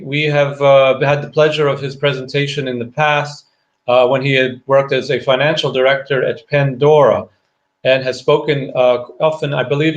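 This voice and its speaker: A man hosting an online event gives a semi-formal introduction of a speaker in a hesitant, pausing manner. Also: medium pitch. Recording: dull lo-fi online call audio.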